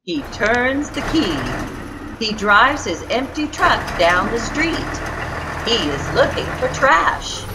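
A woman reading a picture book aloud to young children as a storyteller, with expressive, exaggerated emphasis and music behind her. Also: fairly high pitch, takes it slowly, heavy background noise. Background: background music.

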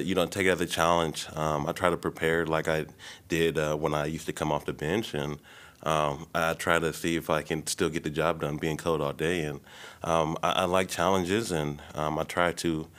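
Young male athlete, very deep voice, laid-back press-room answers, desk mic. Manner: relaxed, many ums and you-knows. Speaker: baseball player. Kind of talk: post-game press conference. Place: press room before a logo backdrop. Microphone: desk mic on a stand.

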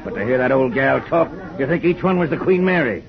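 An actor playing a gruff old male sea dog who runs a shipyard, growling and blustering, in a 1950s radio drama. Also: medium pitch, expressive, fairly quick, lightly acted. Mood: scornful. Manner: growling, blustering, calls everyone mate. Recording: muffled 1950 radio broadcast with music.

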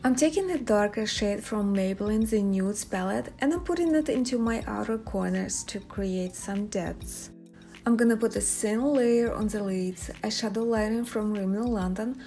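A woman's voice: mid-range voice, normal speed, dark tone. A young woman, a native Russian speaker with a Russian accent, presenting a makeup tutorial to viewers as a beauty vlogger. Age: young adult. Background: background music.

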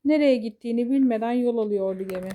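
A woman's voice: fairly high pitch, quick pace, dark tone. A woman reading a scripted fairy tale for children as a storyteller.

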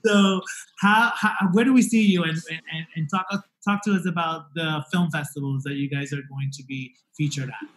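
A man in his forties from Puerto Rico hosting a casual interview over a video call, talking with an animated, expressive manner from his living room into a desk mic. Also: fairly high pitch, normal speed, low-quality recording. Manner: animated, gestures with hands while talking. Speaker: talk show host. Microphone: desk podcast mic on video call.

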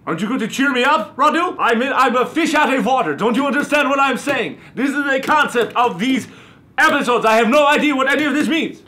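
Hammy, high-pitched Transylvanian vampire. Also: male voice, very animated, unhurried, pauses often, a bit bright. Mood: exasperated. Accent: put-on Transylvanian. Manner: hammy, ranting, profane. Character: theatrical, cranky, ancient male vampire from Transylvania hosting Halloween show. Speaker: host. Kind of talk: comic in-character hosting.

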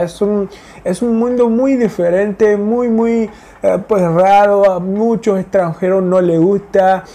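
A man in his thirties from India speaking Spanish as a learned language with an Indian accent, chatting to camera with many fillers and repeats, recorded on a lapel mic in a small room. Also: high voice. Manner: animated, gesturing hands. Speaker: vlogger. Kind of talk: casual talk to camera. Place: small indoor room in front of a blue wall.

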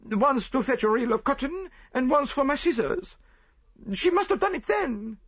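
Anxious young maid, done by a male reader, high, pleading. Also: animated, moderate pace, a bit bright, no echo, dull audio. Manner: pleading, defensive. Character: tearful young French lady's maid, anxious.

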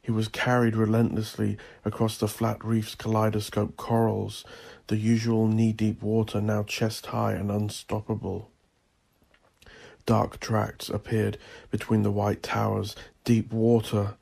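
Gravelly man narrating dark horror, widely varied pitch. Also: monotone, measured pace. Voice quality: gravelly, husky. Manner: intense, theatrical. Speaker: audiobook narrator. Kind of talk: dramatic scripted horror narration.

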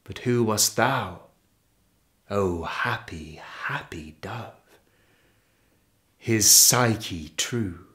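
A man reciting poetry in a dramatic, expressive and measured manner, with deliberate pauses. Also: on the low side. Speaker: poetry reader.

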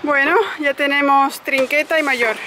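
A woman on a sailboat narrating in a brisk, matter-of-fact way as she takes down the sails. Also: sits high, steady tone, background noise. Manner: matter-of-fact, brisk, talks to her dog. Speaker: sailing vlogger. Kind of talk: casual narration while working. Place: outdoors on a sailboat.

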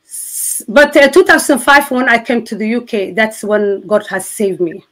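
A middle-aged woman from Somalia with a Somali accent, giving her personal testimony as a guest on a video call, earnest and halting with many fillers and restarts. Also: a little high, a bit bright, rough recording. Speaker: interview guest. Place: indoors in front of a city-skyline backdrop.

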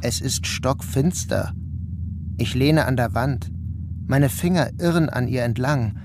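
A man's voice: mid-range voice, a little slow, background noise, natural voice. An actor playing a troubled man who narrates his dreamlike ordeal in a radio play. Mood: grave, intense. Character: troubled male first-person narrator recounting a dreamlike ordeal. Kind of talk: first-person audio drama narration.

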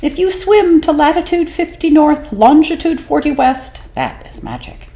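A woman voicing a small, clever fish in a storybook reading, speaking in a high, knowing voice. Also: very animated, warm tone, exaggerated voice. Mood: clever, eager. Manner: quick, knowing, high-pitched.

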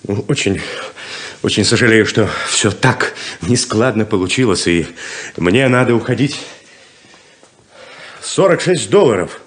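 An actor playing an ardent, theatrical drifter who sells rain, in a 1970s radio drama. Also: male voice, lowish voice, lively intonation, measured pace, pauses often. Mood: regretful, hurried. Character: ardent, theatrical drifting male rainmaker and con man.

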